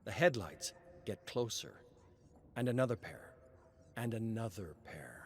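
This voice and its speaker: A man reading scripted narration as a storyteller, solemn and measured, with dramatic pauses. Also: fairly low pitch, very animated, a little hiss or hum.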